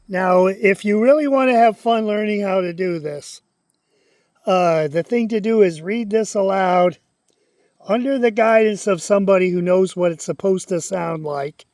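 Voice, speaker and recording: Guy rambling on, kinda high voice, dry close sound. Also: a little mellow, no room sound. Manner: rambling, conversational, stops to read aloud. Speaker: channel host. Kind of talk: casual talk to camera, reading aloud.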